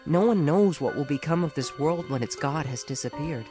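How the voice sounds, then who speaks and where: A man playing a calm, polite glowing spirit. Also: on the high side, normal speed, lightly acted. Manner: smooth, polite, lightly teasing. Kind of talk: fan audiobook acting. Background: music.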